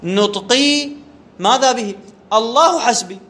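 High-voiced man teaching grammar. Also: a little slow, pushed and bright. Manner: asks rhetorical questions and answers them himself, repeats key terms. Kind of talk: classroom lecture on Arabic grammar.

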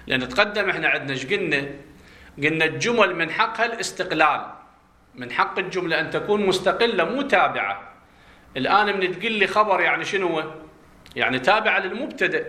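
A male grammar teacher with an Iraqi Arabic accent lectures in a clear, ringing voice that sits a little high for a man. He stretches out key terms for emphasis. He keeps putting questions to his students and answering them himself. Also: sharp tone. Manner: drawn-out stressed words when explaining terms. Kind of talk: classroom lecture explaining a classical text.

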